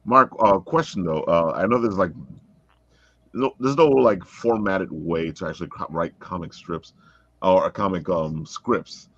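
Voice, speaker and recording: A man chatting casually as an interviewer on a podcast, with a halting delivery that often repeats words. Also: sits low, no echo. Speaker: interviewer and fellow writer. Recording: dull, close, lo-fi call audio.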